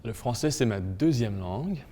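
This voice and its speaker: A man giving a measured personal testimony, recounting a memory. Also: medium pitch, expressive, mellow, a little hiss or hum. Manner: measured, storytelling. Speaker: interviewee. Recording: echoey room with faint music.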